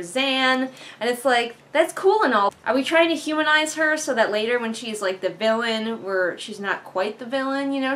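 A woman's voice: fairly high pitch, a bit bright. A young American woman giving casual book commentary in a rambling, bluntly opinionated way, talking as a vlogger in a living room. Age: young adult. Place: living room couch, indoors. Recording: background noise in a living room.

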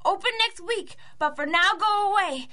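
A woman's voice: very high voice, moderate pace, bright, forceful tone. A woman playing an earnest, anxious young boy in a rhymed radio drama, with a plaintive manner. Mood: worried, determined. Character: earnest, anxious young orphan-minded boy seeking heroes.

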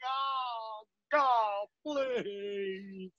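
A man's voice: sits high, very slow, sharp tone, no room sound, shouting, heavily acted. An actor playing a terrified, wounded male bandit in a Western radio play. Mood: agonized, pleading. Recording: dull home video call audio.

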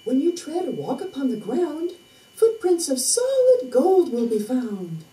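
Fairy-tale benefactress acted by a woman: high, animated, singsong, gracious, distant echoey recording. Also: measured pace, slight background noise. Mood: gracious, solemn. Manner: singsong rhymed recitation. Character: gracious fairy godmother bestowing magic gifts. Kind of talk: puppet theatre fairy tale.

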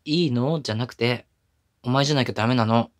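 A man's voice: mid-range voice, fast, close to an everyday voice. A man playing a smitten, apologetic young boyfriend in an intimate ASMR voice drama, speaking casually and tenderly. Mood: earnest, relieved.

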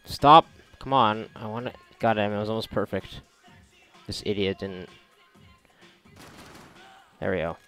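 A man's voice: fairly low pitch, measured pace, pauses often, fairly bright tone. A man giving casual, rambling gameplay commentary in a sarcastic, foul-mouthed way. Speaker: video game player commentating. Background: video game music and sound.

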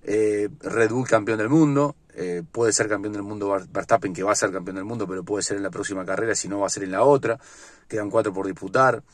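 Blunt ranting Uruguayan man, low voice. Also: a little fast, no room sound. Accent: Uruguayan Spanish. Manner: blunt and ranting, many fillers and restarts. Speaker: sports vlogger. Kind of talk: opinionated solo football commentary. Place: inside a parked car. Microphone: phone or webcam at arm's length.

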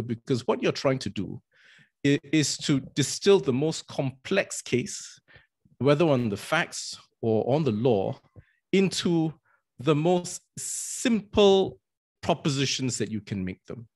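A man's voice: medium pitch, big pitch swings, a little slow, breathy edge. A middle-aged man from Singapore, a barrister and arbitrator, gives an earnest webinar talk with frequent restarts and fillers. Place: indoors with virtual green backdrop. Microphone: video call, webcam.

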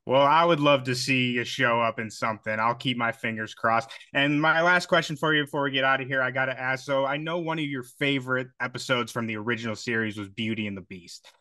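A man's voice: medium pitch, a little fast, few pauses, sharp tone, no room sound, studio quality. A young man asking chatty, enthusiastic questions as interviewer in a casual video call. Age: young adult.

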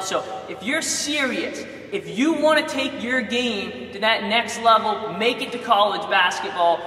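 A man's voice: high-pitched, bright, forceful tone, roomy sound, big-room sound. Young coach guy selling his program, emphatic, lapel mic, sounds a bit far off in a big gym. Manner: urgent, emphatic, direct address. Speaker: basketball coach. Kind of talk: sales pitch to camera. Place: large indoor gym.

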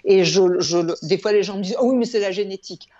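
A woman's voice: mid-range voice, quick pace, dark tone. A woman in her sixties explaining things conversationally in an interview, emphatic and repeating words, over a video call on earphones. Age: 60s. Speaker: guest, eye yoga trainer and author. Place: home room with shelves, indoors. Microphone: wired earphones on a video call. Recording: dull, lo-fi video call audio.

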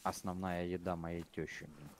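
Low-voiced blunt man chatting in game. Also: level tone, moderate pace, a little hiss or hum. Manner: blunt, swears freely, rambling. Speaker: gamer on voice chat. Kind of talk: casual gaming chat.

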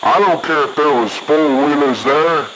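A male truck driver talking insistently and haltingly over a CB radio, repeating himself. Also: on the high side. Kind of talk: heated CB radio exchange. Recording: very noisy CB radio transmission.

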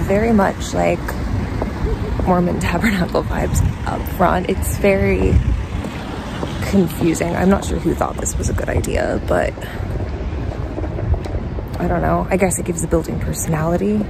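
A young American woman talking casually as a travel vlogger while walking city streets, filling her talk with "um" and "like", her voice low and rough from dry air. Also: steady tone, measured pace, long pauses. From United States. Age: young adult. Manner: chatty, many fillers like "um" and "like". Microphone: phone camera held at arm's length. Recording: loud street noise behind.

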